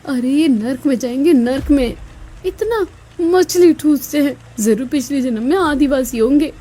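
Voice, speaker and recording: A woman's voice: high-pitched, moderate pace, warm tone, close to an everyday voice. A woman voicing an unhappy, complaining young daughter-in-law in a Hindi cartoon story. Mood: bitter.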